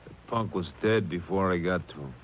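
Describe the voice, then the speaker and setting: A man acting as a hard gangster in a vintage radio crime drama. His very low voice is curt and threatening. The old tape has faint noise. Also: fairly quick, lightly acted. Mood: menacing. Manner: clipped, menacing. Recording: noisy old radio broadcast on cassette.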